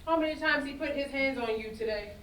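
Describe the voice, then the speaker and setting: A woman's voice: sits high, fast, fairly bright tone. A woman playing a worldly, cynical, hard-bitten Creole woman in a staged play reading, speaking bluntly and knowingly in vernacular. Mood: knowing, warning. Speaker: student actor in a staged reading. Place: indoor festival staged reading. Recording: echoey room, distant mic, faint noise.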